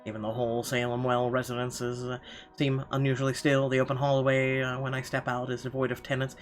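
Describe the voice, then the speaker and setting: A man's voice: medium pitch, completely flat delivery, fairly quick. Wry man reading a visual novel aloud. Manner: wry, sardonic asides. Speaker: let's player. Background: game music.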